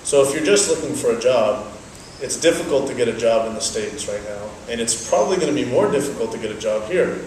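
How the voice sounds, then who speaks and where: A young man whose first language is Dutch, giving measured, explanatory answers in an interview. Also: medium pitch, lively intonation, a little mellow, a little hiss or hum. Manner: measured, explanatory, thoughtful pauses. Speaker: interviewee, business owner. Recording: very echoey, from a distance, faint ambience.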